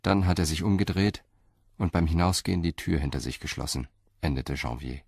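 A man narrating a radio crime play in a calm, measured storytelling manner. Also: extremely low pitch, gravelly, husky. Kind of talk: scripted audio drama narration.

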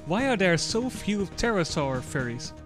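A high-voiced man reads out scripted questions with lively, swinging intonation. Faint music plays behind him. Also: a little slow. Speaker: reader of viewer questions. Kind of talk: scripted reading of short questions. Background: music under the voice.

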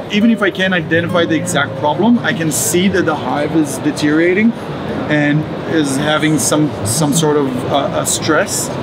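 A man's voice: on the high side, very noisy. A man in his thirties, a native Hebrew speaker, explaining his company's product in an interview at a trade show booth, with many fillers and restarts. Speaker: startup executive.

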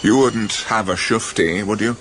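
An actor playing a fussy, oblivious old-fashioned English ghost gentleman in a radio drama, with a British accent and polite chummy manner. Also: lowish voice, steady tone, normal speed, slight background noise, close to an everyday voice. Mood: genial, fussy. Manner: polite, dated upper-class chumminess.

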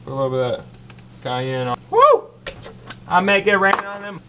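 A man's voice: high-pitched, huge pitch swings, normal speed, background noise. A young man in his twenties, brash and boastful, hosting a comedic cooking show from a small kitchen. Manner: brash, boastful, loud whoops.

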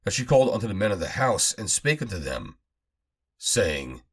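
A man with a low, husky voice reads scripture aloud. His delivery rises and falls widely, and he declaims the archaic text in measured phrases. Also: very animated. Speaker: Bible reader. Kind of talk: scripted scripture reading, solemn archaic narration.

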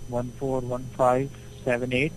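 A man's voice: mid-range voice, completely flat delivery, a little slow, slight background noise, poor audio. A man working as a tech support agent who answers in a formal, polite way and keeps asking for an account number. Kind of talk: customer service call.

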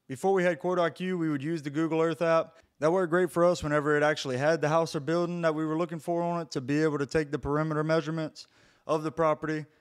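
Man, business owner, blunt casual voice-over, slightly high pitch, quick delivery. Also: smooth. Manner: blunt, casual, swears freely. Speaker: pressure washing business owner. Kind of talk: scripted voice-over narration.

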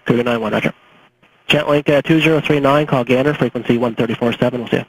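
A man's voice: medium pitch, quick pace. A man working as an air traffic controller, giving instructions over aviation radio. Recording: faintly noisy air traffic radio recording.

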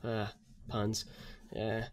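A man's voice: on the low side, completely flat delivery, drawn-out words, background noise. A man co-hosting a podcast in casual comic chat, talking informally and with emphasis. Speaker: podcast co-host.

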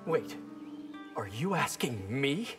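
Earnest, lively young ninja. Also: male voice, mid-range voice, slow, music in the background. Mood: earnest friendliness. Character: earnest young male ninja, friendly sidekick. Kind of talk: video game fight-intro banter.

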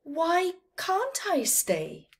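A woman's voice: very high-pitched, wildly swooping pitch, extremely slow, creaky, voice pushed up, exaggerated voice. A woman voicing a puzzled, pleading little male camel calf from a children's storybook, in a plaintive, questioning way.

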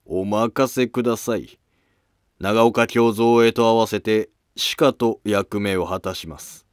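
A man playing a calm, scheming, self-sacrificing court noble in formal archaic speech for a history drama. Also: lowish voice, slow pace, clean tone, no echo, studio quality. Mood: dutiful.

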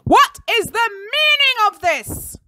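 A woman voicing a strict, furious male teacher who shouts and growls in a storybook reading. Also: very high-pitched, big pitch swings, slow, pitched above the performer's own voice, full character voice. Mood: raging. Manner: growling, building to bellowed shouts.

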